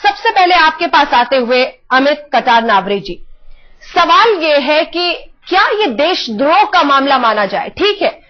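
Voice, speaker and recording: A woman anchoring a TV news debate with emphatic delivery from a studio. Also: high voice. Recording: dull band-limited broadcast audio.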